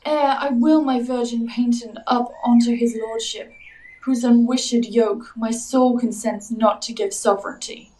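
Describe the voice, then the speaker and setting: An earnest young maiden acted by a woman in a Shakespearean audio play. Her highish voice sounds a little away from the mic, formal and respectful yet defiant. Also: steady tone, a little slow, mellow, far from the mic, lightly acted, low-quality recording. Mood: resolute. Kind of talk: Shakespearean verse audio drama acting.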